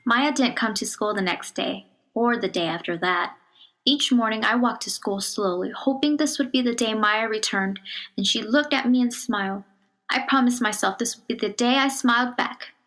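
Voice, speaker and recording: Woman, somewhat high voice, children's story read-aloud, measured expressive delivery, hushed phrases. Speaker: storybook reader.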